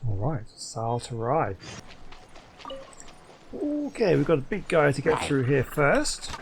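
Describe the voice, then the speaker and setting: A man's voice: medium pitch, wildly swooping pitch, slow pace, gravelly, noisy background. A man giving casual, musing gameplay commentary as the host of a gaming video. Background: video game sound.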